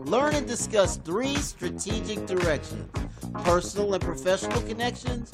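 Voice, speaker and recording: Female announcer, medium pitch, scripted community event promo, prominent music bed underneath. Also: expressive, normal speed, vocal fry. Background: loud music bed.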